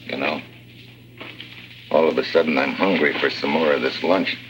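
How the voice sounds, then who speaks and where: A man's voice: very deep voice, fairly even intonation, normal speed, noisy background. An actor playing a tough, stubborn American man undercover in a prison camp, speaking in blunt slang in 1950s radio drama style. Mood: wary. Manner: blunt, slangy, hard-boiled. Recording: old radio drama with music behind.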